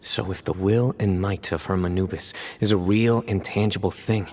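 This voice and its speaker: A man's voice: low-pitched, steady tone, normal speed, darkish tone. An actor playing a stern, reserved young male guardian who talks tersely and seriously. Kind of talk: video game voice acting.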